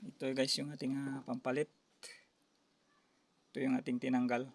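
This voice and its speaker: A man with a Filipino accent giving a casual, hands-on, step-by-step repair demonstration as a tutorial host, speaking haltingly and often addressing viewers. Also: mid-range voice, level tone, vocal fry. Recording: noisy amateur recording with clicks.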